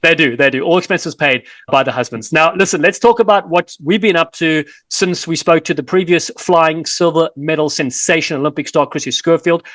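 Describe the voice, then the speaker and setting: A South African man co-hosting a rugby podcast, chatty and energetic with playful teasing. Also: a little high, moderate pace, few pauses, dry recording. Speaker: podcast co-host and rugby commentator.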